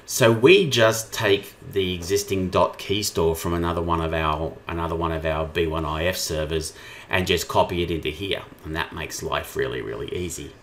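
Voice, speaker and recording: Low-pitched man walking through software steps. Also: moderate pace, slight background noise. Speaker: software trainer presenting a tutorial. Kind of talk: informal screen-share walkthrough, explaining step by step.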